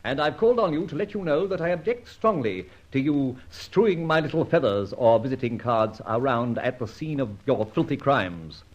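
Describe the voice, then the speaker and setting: Actor as husky, dashing masked radio adventurer. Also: male voice, mid-range voice, expressive, moderate pace, few pauses, close to an everyday voice. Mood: accusing, commanding. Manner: theatrical, clipped, declamatory. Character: dashing masked vigilante adventurer, sardonic and commanding. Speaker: lead actor in a radio detective serial. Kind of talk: old-time radio drama acting. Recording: dull vintage radio recording.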